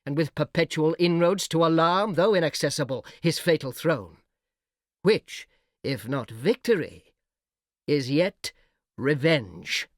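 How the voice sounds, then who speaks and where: A man's voice: fairly high pitch, lively intonation, unhurried, dry recording, clean studio sound. A man playing a fierce, frowning fallen angel warrior who urges revenge with defiant declamation in an epic verse reading. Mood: grim defiance.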